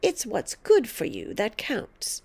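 A woman's voice: fairly high pitch, wildly swooping pitch, slow pace, a little mellow, slightly rough, full character voice. A woman voicing a tiny, bossy, mean old grandmother who scolds and snaps with gloating emphasis in a storybook reading. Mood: bossy, snapping.

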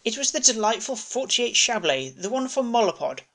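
A man's voice: extremely high pitch, sing-song, moderate pace, sharp tone, voice pushed up, exaggerated voice. A man voicing a bitter, pompous middle-aged ex-ambassador fallen into homelessness, full of self-pity, in a story podcast. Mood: pompous, wistful.

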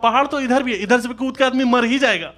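A man's voice: very high-pitched, fast talker, fairly bright tone. A man, telling colloquial stand-up stories and acting out dialogue on a handheld mic. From Uttar Pradesh, India. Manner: conversational, colloquial, acts out dialogue in anecdotes. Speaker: stand-up comedian. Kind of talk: live observational stand-up storytelling. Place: small comedy club stage, indoors. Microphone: handheld stage microphone. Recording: live club recording with audience noise. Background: audience laughter and noise.

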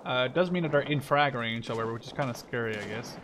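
Brief: young male gamer, mid-pitched, casual and profane, game gunfire heard clearly behind. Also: fast, noisy background. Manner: casual, swearing, bursts of alarmed exclamations. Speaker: gaming channel host. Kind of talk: casual gameplay commentary. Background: loud game gunfire and artillery.

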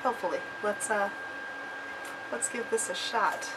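A woman's voice: mid-range voice, a little slow, far from the mic, background noise. A woman in her twenties chatting casually to her viewers in a vlog, with a chatty, emphatic manner and a voice slightly off from a cold. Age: 20s. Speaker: vlogger, channel host. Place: small cluttered room at home.

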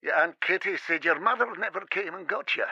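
A man playing a gruff middle-aged father in a radio drama, heard through a telephone filter. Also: high-pitched, fairly quick, sharp tone, no echo, muffled recording, full character voice. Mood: reserved, gruff. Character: bitter, gruff hard-drinking father of a grown daughter.

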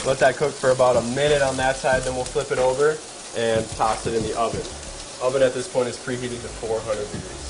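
A man's voice: medium pitch, fairly quick, rough recording. A young man hosting a cooking show, talking casually step by step as he cooks in a home kitchen. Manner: relaxed step-by-step narration while cooking. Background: frying and sizzling from the pan.

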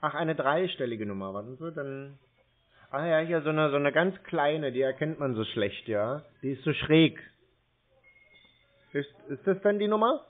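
A man's voice: fairly high pitch, animated. Dawdling man playing dumb on the phone. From Germany. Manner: slow, dawdling, feigned confusion. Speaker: scam-baiting caller. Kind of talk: playing dumb on a prank call. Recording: tinny phone line.

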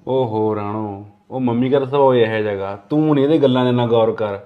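A man's voice: mid-range voice, brisk, narrow, tinny audio, natural voice. A man playing an eager, hospitable husband. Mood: insistent. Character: easygoing husband, eager to please.

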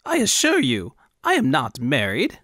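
A man's voice: mid-range voice, huge pitch swings, a little slow, fairly bright tone, dry recording, studio quality, exaggerated voice. A man voicing a foppish, carefree artist in an audiobook, chatty and dramatic with a lilting delivery. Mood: shocked, dramatic.

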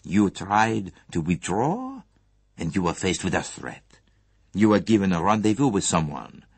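A courteous foreign detective, acted out by a male audiobook reader, formal and gently probing. His phrasing is precise and measured, his pitch swings widely, and the voice is a little rough-edged in a very dry recording. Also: sing-song, dry recording, heavily acted. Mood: earnest, advising.

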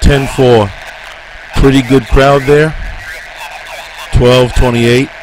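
A man's voice: medium pitch, level tone, takes it slowly, natural voice. A man voicing a businesslike 1960s police officer in a clipped, procedural radio transcript reading. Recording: noisy police radio sound, vehicle ambience.

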